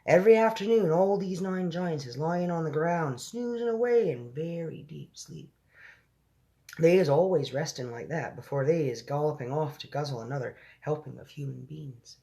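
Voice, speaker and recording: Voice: medium pitch, moderate pace, gravelly, warm tone, voice pushed up, natural voice. A storybook reader voicing a big friendly male giant who speaks in mangled grammar and nonsense words. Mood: earnest.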